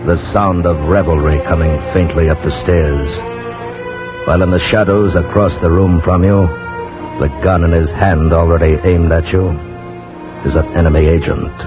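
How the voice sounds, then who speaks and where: A man with a deep voice gives dramatic suspense narration in old-time radio style. The broadcast is muffled, with music playing behind him. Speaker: radio announcer.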